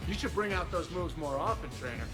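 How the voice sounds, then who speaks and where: A man's voice: fairly high pitch, animated, brisk, over loud music, lightly acted. An actor playing a friendly, good-humored male crewmate in a video game.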